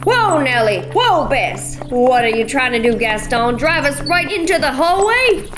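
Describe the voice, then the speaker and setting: A woman's voice: high-pitched, big pitch swings, a little slow, music in the background. A woman voicing a bossy, blunt, middle-aged widow from a cattle ranch, speaking. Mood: bossy, folksy. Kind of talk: radio play acting.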